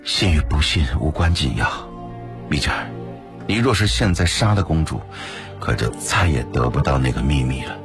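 A man's voice: low-pitched, sing-song, music in the background. Man acting a composed, weakened male captive. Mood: cool, unruffled. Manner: measured, mild, reasoning. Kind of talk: audio drama dialogue.

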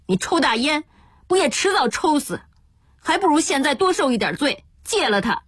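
A man's voice: extremely high pitch, sing-song, moderate pace, a bit bright, dry recording, studio quality, pitched above the performer's own voice, heavily acted. A woman narrator voicing a firm, defiant young son who earnestly urges his addicted father on. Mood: urgent reproach. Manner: earnest, unyielding, urging.

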